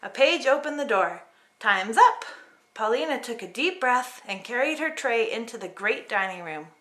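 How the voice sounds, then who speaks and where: A woman narrating a children's story in an expressive read-aloud style. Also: fairly high pitch, normal speed. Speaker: storybook reader.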